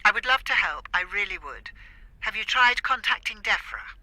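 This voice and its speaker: A harried woman on an official helpline, heard down a phone line. She is very sharp in tone, officious and apologetic without being any help, in radio drama style. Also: medium pitch, animated, normal speed, no room sound. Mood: apologetic, dismissive. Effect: telephone filter. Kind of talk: audio drama acting.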